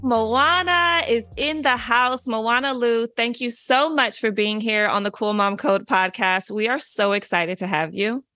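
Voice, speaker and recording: A woman's voice: fairly high pitch, unhurried, hardly any pauses, sharp tone, soft background music. A woman hosting a podcast, effusive and enthusiastic as she interviews her guest. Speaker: podcast host. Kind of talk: casual interview.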